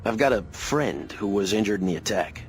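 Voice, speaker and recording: An actor playing a laconic, world-weary freelance pilot in a video game, speaking in a low-key way. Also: male voice, expressive, fairly quick, slight background noise. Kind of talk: video game cutscene acting.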